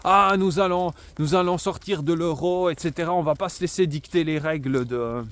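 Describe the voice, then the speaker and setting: A man's voice: a little high, moderate pace. A man giving an unscripted political monologue to camera, emphatic and sarcastic, with many fillers and restarts. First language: bilingual French and Italian. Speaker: political commentator.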